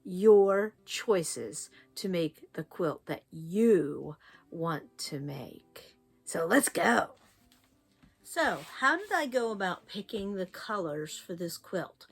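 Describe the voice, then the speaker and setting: A woman's voice: on the low side, lively intonation, slow pace, vocal fry, slightly rough, soft background music. An older woman with a US East Coast accent hosting a quilting tutorial, chatty and encouraging. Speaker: quilting channel host.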